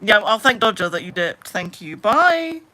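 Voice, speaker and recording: Bright-toned woman in her late 20s chatting on stream, says like a lot, trails off quiet sometimes. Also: mid-range voice, big pitch swings, normal speed. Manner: chatty, heavy use of "like", trailing off into mutters. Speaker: livestreamer. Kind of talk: casual live stream chat.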